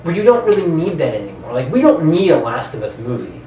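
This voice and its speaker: A man with a slightly high voice talks as a podcast co-host. He argues casually, circling back to rephrase his points. The sound is roomy, picked up away from the mic. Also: huge pitch swings, quick pace, warm tone. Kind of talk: casual opinionated discussion. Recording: roomy, distant mic, background noise.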